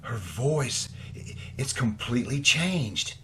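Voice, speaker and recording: A man playing an eager, deferential scientist's assistant in old-time radio drama style, excitable and a bit hesitant. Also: medium pitch, slow, slight background noise. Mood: excited.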